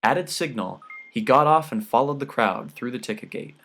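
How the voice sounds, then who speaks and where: Mid-pitched man narrating an audiobook. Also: lively intonation, moderate pace, a bit bright, faint music behind. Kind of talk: scripted narration of fiction.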